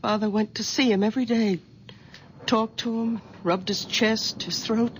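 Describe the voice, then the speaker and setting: An actress playing a calm, placating wife and daughter in a radio drama. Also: female voice, on the high side, normal speed, natural voice. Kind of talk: 1970s radio drama acting. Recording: noisy old radio recording.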